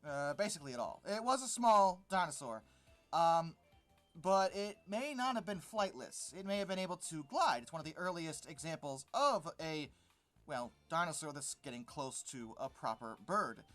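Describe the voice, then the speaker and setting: An animated video host with a mid-range voice that swings widely in pitch. The commentary is informal, sarcastic and exasperated, with emphatic stress on key words. Music plays behind the voice. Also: normal speed. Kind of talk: informal reaction commentary on a read-out article.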